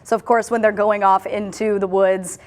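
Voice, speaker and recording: A woman hosting a casual online news discussion, talking in an animated way and reading quotes aloud with a lift in her voice. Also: mid-range voice, normal speed. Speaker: host.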